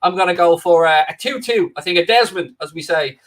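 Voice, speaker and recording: A man's voice: sits high, a little fast. A man with a Dublin-Wicklow Irish accent, filling in as host of a live football watchalong, chatting casually and bantering with the viewers in a cheeky, self-mocking way. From Ireland. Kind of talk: casual live-stream chat reading viewer comments. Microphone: new microphone, close.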